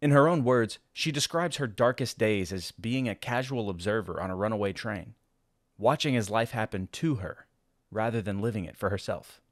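Low-voiced solemn male narrator. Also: moderate pace, no echo, studio quality. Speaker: documentary narrator. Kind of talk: scripted narration. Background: faint background music.

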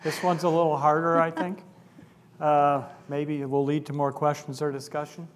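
A man in his sixties, a psychology professor, giving an animated public talk on stage with a lapel mic and asking the audience questions. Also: on the high side, moderate pace. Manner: animated, gestures widely, asks the audience questions. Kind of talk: lecture to a live audience. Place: large auditorium stage. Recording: long hall reverb, faint room noise.

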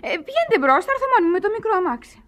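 A woman's voice: very high-pitched, very animated, fairly bright tone, exaggerated voice. A woman playing a curious, impulsive young woman in love in a radio play, dramatic and inquisitive. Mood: evasive. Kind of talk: Greek radio theatre acting.

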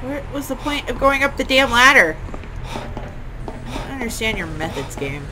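Playful woman gamer with lively pitch. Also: moderate pace, a little hiss or hum, low-quality recording. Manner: playful, exclamatory, self-talking. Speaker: gamer playing and commenting. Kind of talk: casual let's play chat with co-commentator.

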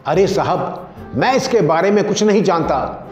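A man's voice: sits high, animated, measured pace, darkish tone, soft background music. A man voicing a poor, timid barber in a story, pleading and whining. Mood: anxious. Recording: roomy echo, dull lo-fi sound.

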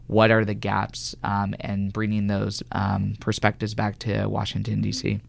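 A man's voice: on the low side, completely flat delivery, unhurried, creaky, slight background noise. A man from California answering conversationally as an interview guest, a government health official, in a radio studio. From California, United States.

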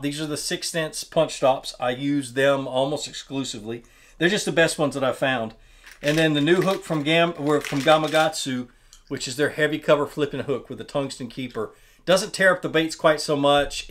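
Easygoing man explaining fishing gear. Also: a little high. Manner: relaxed, plainspoken, restarts words while showing gear. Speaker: fishing channel host. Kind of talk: casual tackle explainer. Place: small indoor studio with wooden wall.

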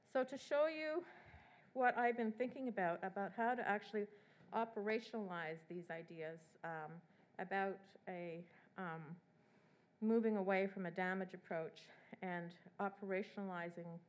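Woman giving a measured academic lecture. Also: medium pitch. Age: middle-aged. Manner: measured, with fillers and restarts. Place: lecture hall at a podium.

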